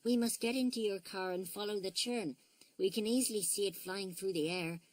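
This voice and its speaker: Sweet little girl bear voiced by a woman, soft and fretful, raised high voice, dry. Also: moderate pace, no room sound, natural voice. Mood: earnest. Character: small sweet girl teddy bear, anxious.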